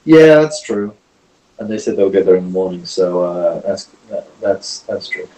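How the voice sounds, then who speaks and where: A man's voice: deep voice, lively intonation, moderate pace, mellow, breathy edge, poor audio. A man playing in a tabletop game, chatting casually and often stammering and repeating words. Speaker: player.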